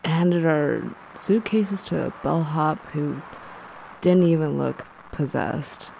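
A woman playing a blunt, deadpan lover of spooky things in an audio drama, speaking haltingly. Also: sits low, creaky, slight background noise, full character voice, low-quality recording. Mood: deadpan, disappointed. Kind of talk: naturalistic audio drama storytelling.

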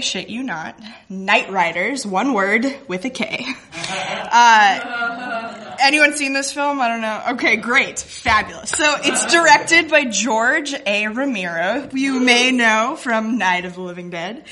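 A woman tells a humorous story to a live audience. Her voice is fairly high with a bright, crisp edge. Her delivery is wry, facetious and self-deprecating. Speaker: guest cartoonist telling a story. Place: live event in a room with an audience.

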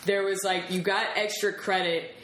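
A woman's voice: mid-range voice, little pitch movement, moderate pace, fairly bright tone. A woman hosting a podcast, chatting casually and telling anecdotes in an informal, self-deprecating way. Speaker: podcast host. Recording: roomy echo, faint background noise.

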